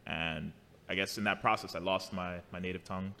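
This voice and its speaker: A man's voice: low voice, fairly even intonation, brisk, bright, forceful tone. A man from New City, chatting casually as an interview guest in an easygoing, good-humored way. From New York City. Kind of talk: casual chat. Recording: long reverberant room echo.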